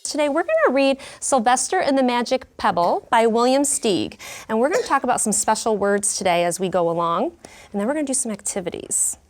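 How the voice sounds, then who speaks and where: A woman's voice: fairly high pitch, sing-song, fairly quick. A woman who teaches elementary school, explaining words and lessons in simple, child-friendly terms. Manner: clear, child-friendly explanations with questions to pupils. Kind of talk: reading aloud and teaching young children, explaining her method.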